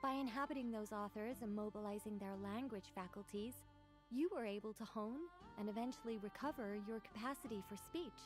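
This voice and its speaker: A woman's voice: medium pitch, brisk, faint music behind, close to an everyday voice. A woman voicing a composed, witty woman author in a video game, speaking articulately with a conversational, lightly teasing manner. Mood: breezy, reassuring. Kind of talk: scripted game dialogue.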